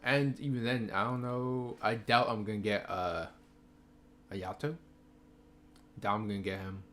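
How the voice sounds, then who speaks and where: A man's voice: lowish voice, little pitch movement, a little slow, fairly bright tone. A man streaming a video game, thinking aloud in casual, rambling commentary full of fillers and hesitations. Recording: noisy background ambience.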